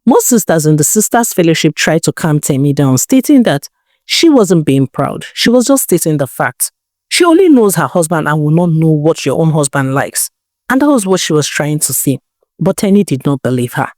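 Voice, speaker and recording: Low-voiced woman telling a dramatic tale. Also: moderate pace, no echo, clean studio sound. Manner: expressive, emphatic, with rhetorical questions. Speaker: storyteller, channel host. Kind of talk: dramatic moral folktale narration.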